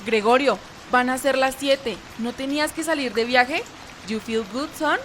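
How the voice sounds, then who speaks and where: A woman's voice: high voice, unhurried, fairly bright tone, slight background noise. A woman playing a worried, polite middle-aged mother in an amateur radio play.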